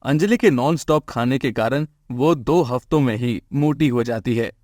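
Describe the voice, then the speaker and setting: A man tells a scripted moral story as narrator. His pitch is average for a man. The recording is dry, with no room sound. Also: moderate pace, darkish tone, no echo.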